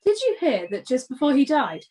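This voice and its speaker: A woman playing an ageing, gentle Edwardian actress in a radio play, polite and reassuring. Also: high-pitched, sing-song, a little fast. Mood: gently chiding.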